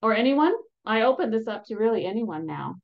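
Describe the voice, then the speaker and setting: A woman's voice: fairly high pitch, expressive, fairly quick, vocal fry. A woman in her thirties speaking on a video call from home, upbeat and encouraging, with lots of fillers and restarts. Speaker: advocacy program staff presenting a webinar. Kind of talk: casual webinar presentation. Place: home room behind a virtual background. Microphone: laptop webcam mic on a video call. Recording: slightly dull video call audio.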